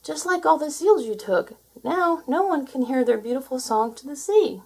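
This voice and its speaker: A woman's voice: high-pitched, lively intonation, normal speed, darkish tone, pitched above the performer's own voice. A woman voicing a small, earnest and clever male seal in a storybook, pleading and exclaiming.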